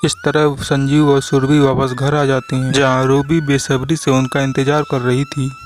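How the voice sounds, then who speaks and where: A man's voice: medium pitch, little pitch movement, normal speed. A man giving scripted storybook narration for a cartoon.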